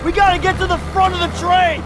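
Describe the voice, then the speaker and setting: An actor playing a frantic infantry soldier in a war game, barking urgent battle calls. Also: male voice, very high-pitched, little pitch movement, quick pace, background noise. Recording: loud music, gunfire and vehicles. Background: battle gunfire, engines, loud score.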